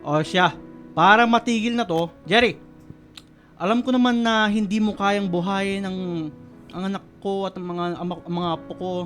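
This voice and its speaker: A man's voice: high-pitched, fairly even intonation, moderate pace, a bit bright. An actor playing an exasperated, domineering older father and grandfather in a Filipino radio melodrama, scolding with some stammering. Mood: weary, decisive. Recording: music and guitar behind the voice.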